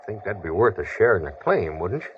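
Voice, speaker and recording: A man's voice: low-pitched, very animated, fairly quick. A man playing a sly, greedy frontier prospector with a folksy American accent and an insinuating manner in a radio western. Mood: sly, resentful. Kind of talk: old-time radio western acting. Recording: dull, noisy old radio recording.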